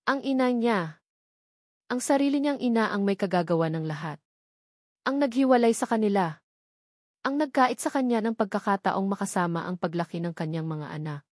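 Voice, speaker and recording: A woman's voice: medium pitch, expressive, moderate pace, pauses often, a little mellow, dry recording. A woman narrates a scripted dramatic story in a mid-range voice. The recording is dry, with very little room around her.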